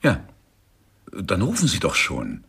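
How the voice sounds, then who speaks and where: An actor playing an erudite, ironic police detective in a radio crime play, with a husky voice and a pompous, dry manner. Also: male voice, sits low, wildly swooping pitch, takes it slowly, sharp tone, exaggerated voice. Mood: questioning. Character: erudite, world-weary male police detective, wordy and ironic. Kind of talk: 1990s German radio play acting.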